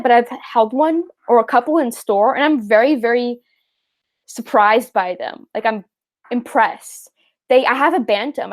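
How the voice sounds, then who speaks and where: A young Canadian woman hosting a fishing livestream, chatting casually and answering viewer questions, with lots of fillers and easy laughs. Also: fairly high pitch. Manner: chatty, rambling, laughs easily, many fillers. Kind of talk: casual live chat reading viewer questions.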